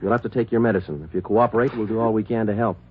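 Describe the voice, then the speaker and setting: A man with an American accent playing a stern, deadpan police detective in a clipped 1950s radio drama style. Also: fairly low pitch, very fast, a little hiss or hum, lightly acted. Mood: stern, pressing. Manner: clipped, deadpan, businesslike questioning. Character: stern, hard-pressing male police detective. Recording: muffled, narrow-band old radio recording.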